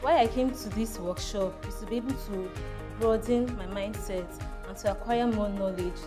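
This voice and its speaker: A woman's voice: mid-range voice, normal speed. A twenty-year-old woman student introducing herself with confident ambition. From Nigeria. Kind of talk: self-introduction. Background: background music.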